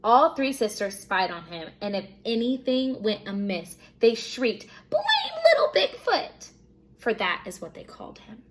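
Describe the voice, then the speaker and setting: A woman teacher reading a children's storybook aloud in an expressive narrating style. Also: mid-range voice, normal speed, few pauses, slight background noise.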